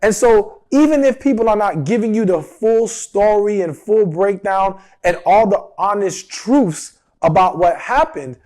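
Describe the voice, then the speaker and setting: A man in his thirties giving dating advice straight to his viewers, his voice a little rough from a cold, earnest and emphatic. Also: high-pitched, expressive, measured pace, few pauses, a little mellow. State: recovering from a cold. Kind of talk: direct-to-camera advice talk.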